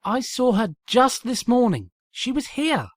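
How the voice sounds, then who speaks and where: A woman's voice: fairly high pitch, sing-song, measured pace, no room sound, clean studio sound. A woman voicing a hot-tempered, indignant young female pony in an acted fan fiction reading. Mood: exasperated. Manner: indignant, exasperated, emphatic.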